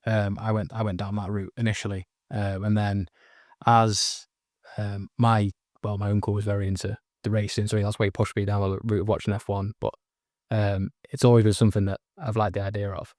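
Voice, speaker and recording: A man from the north of England asks questions in a somewhat low voice. He talks casually, with lots of fillers and restarts. The recording is dry and close. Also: level tone, fairly quick, a little mellow, no echo. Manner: casual, hesitant, with many fillers. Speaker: interviewer, host. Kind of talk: interview questions.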